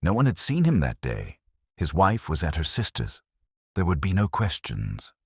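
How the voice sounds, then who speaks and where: A man voicing a cornered impostor in a detective audiobook, confessing defensively in terse, halting phrases. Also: low-pitched, wildly swooping pitch, vocal fry, breathy edge, no echo, clean studio sound, full character voice. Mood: defensive confession.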